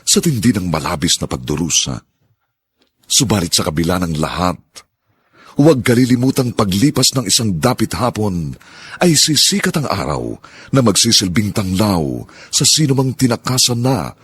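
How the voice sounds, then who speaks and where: A man's voice: lowish voice, husky. Solemn male radio drama announcer. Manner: solemn, sweeping announcer delivery. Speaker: show announcer. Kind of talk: dramatic radio program opening narration. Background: theme music.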